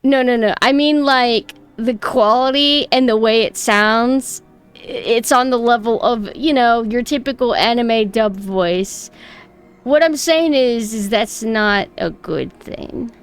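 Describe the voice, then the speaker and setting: A young woman with a high voice, chatting casually and snarkily on a stream, her close mic clipping on loud peaks. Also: takes it slowly, smooth, soft background music. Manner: chatty, rambling, mockingly snarky. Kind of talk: casual reaction stream chat. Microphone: close mic that clips loud peaks.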